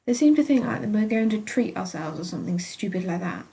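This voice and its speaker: A woman's voice: mid-range voice, expressive, fast talker, vocal fry, warm tone. Woman acting a petulant, sarcastic British young patient. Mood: bored, irritated. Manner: sarcastic, exasperated, huffy. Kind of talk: first-person dramatic fan fiction reading.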